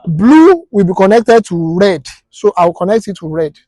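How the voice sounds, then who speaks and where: A man's voice: sits high, very animated, normal speed, mellow, no echo. A Nigerian man teaching a hands-on practical class as an instructor, explaining step by step and quizzing listeners with rhetorical questions. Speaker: technical instructor.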